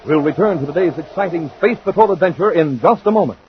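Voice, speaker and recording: A man announces and narrates in classic 1950s radio fashion. His voice sits a little high and his delivery is deliberate and dramatic. The recording is dull, with faint hiss. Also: expressive.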